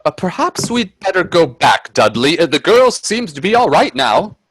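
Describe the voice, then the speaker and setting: An actor playing a timid, wonder-struck gentleman companion in a radio play, with genteel, hesitant delivery. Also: fairly high pitch, very animated, normal speed, no echo, heavily acted. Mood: nervous. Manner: hesitant, stammering in dialogue, genteel phrasing. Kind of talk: radio drama acting and first-person narration. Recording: husky dry close audio from video-call performance.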